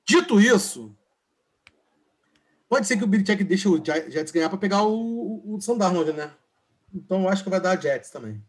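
A man's voice: high-pitched, animated, normal speed, long pauses, breathy edge. A Brazilian man hosting a casual sports podcast, blunt and joking as he moderates. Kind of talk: casual moderating and game chat.

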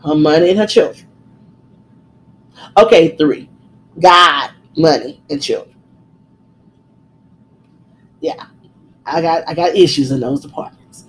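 A middle-aged woman hosting a podcast, talking in a chatty, excitable way with frequent ums, her voice congested from a cold. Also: deep voice, slow pace, long pauses, husky, low-quality recording. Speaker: podcast and radio host. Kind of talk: casual podcast chat.

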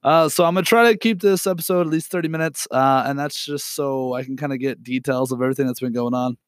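A young man hosting a podcast alone, sick and hoarse, talking in an apologetic, rambling way with many fillers and throat clearing. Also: mid-range voice, fast talker, no echo, clean studio sound. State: sick with a raspy, cracking voice. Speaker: podcast host. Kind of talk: unedited solo podcast update.

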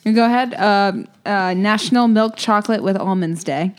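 A woman's voice: mid-range voice, normal speed, clear, resonant tone. A woman in her twenties, co-hosting a podcast in casual chat, giggly and full of fillers. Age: 20s. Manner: giggly, chatty, frequent likes and fillers. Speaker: podcast co-host.

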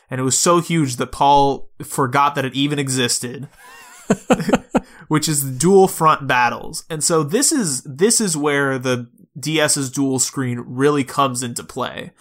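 Bright, forceful man explaining games. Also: medium pitch, slow pace. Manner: explanatory, step by step. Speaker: podcast host. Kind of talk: casual video game discussion.

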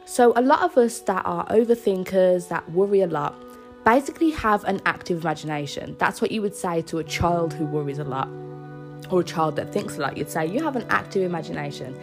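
A woman's voice: lowish voice, lively intonation, brisk, faint music behind. A young woman with a cold and a stuffy nose, hosting a casual solo podcast in an earnest, reassuring way. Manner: earnest, reassuring, with small laughs. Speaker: podcast host.

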